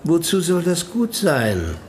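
An actor playing a bored, haughty German prince in a radio play, dry, blasé and condescending. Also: male voice, a little high, huge pitch swings, slow pace, slight background noise, exaggerated voice. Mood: bored, disdainful.

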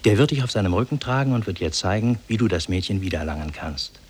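A solemn spirit in human shape with a low, husky voice. He declaims formally and kindly, in the measured manner of old radio drama. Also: lively intonation, a little mellow. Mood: grave, instructing. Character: solemn, benevolent male demon in human form. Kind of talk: 1950s German radio drama acting.

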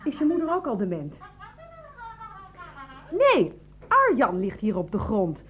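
An actress playing a sarcastic, exasperated Dutch wife who is quick to rage, in a radio drama. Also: female voice, fairly high pitch, wildly swooping pitch, a bit bright, husky, exaggerated voice. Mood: exasperated sarcasm.

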